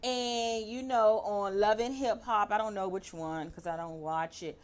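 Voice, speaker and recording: Animated woman host in her forties. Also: medium pitch, unhurried. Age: 40s. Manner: animated storyteller, laughs often. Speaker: show host. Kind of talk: casual chat. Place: radio studio.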